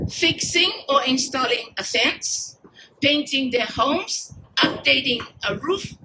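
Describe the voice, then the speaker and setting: A woman from Samoa, a grandmother and volunteer, giving a short, emphatic prepared speech with pauses between phrases. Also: high voice, sharp tone, slight background noise, poor audio. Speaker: community volunteer. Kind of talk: prepared speech at an outdoor event.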